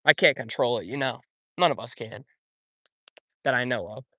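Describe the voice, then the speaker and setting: A young man chatting loosely while he fishes by a pond, rambling from one thing to the next and answering his viewers as he goes. His voice sits at an ordinary middle pitch, and the recording is dry and close with no room sound. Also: normal speed, bright, forceful tone, no room sound. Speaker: fishing YouTuber. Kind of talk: live-stream chat while fishing. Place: outdoors by a pond.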